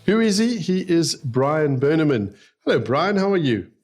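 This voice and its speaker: A man's voice: fairly high pitch, very animated, moderate pace, a little mellow. Hesitant male podcast host. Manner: hesitant, many fillers. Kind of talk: interview hosting.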